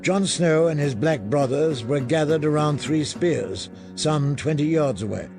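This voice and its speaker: Slow, gravelly male narrator. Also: on the high side, animated, music in the background. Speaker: audiobook narrator. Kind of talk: scripted fantasy narration.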